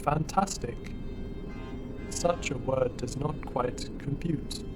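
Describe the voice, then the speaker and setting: A man's voice: mid-range voice, slow, recorded from a distance, natural voice, poor audio. An actor playing a literal-minded male war robot in a science fiction audio drama, guarded yet naively curious, with stilted formal phrasing. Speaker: robot soldier. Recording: music behind.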